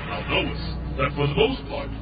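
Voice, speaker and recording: A man's voice: on the high side, expressive, lo-fi sound, close to an everyday voice. A man playing a hideous, proud fiend prince in an audio drama, speaking archaic lines with grandiose disdain and menace. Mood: scornful, menacing. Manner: slow, grandiose, disdainful delivery. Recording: echoey, distant, under loud music. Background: loud music.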